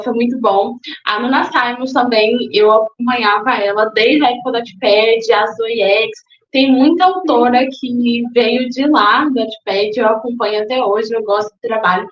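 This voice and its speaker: A woman's voice: fairly high pitch, moderate pace. A young woman from Salvador, Bahia, answering interview questions in a thoughtful way with some hesitation. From Salvador, Bahia, Brazil. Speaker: guest, book influencer. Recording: echoey, dull lo-fi recording.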